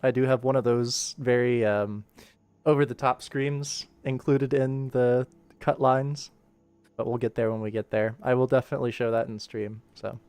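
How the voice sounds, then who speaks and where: A man's voice: mid-range voice, flat delivery, normal speed, a little mellow, clear, resonant tone, dry recording. A man giving casual, musing livestream commentary as he directs animation voice work. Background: background game music.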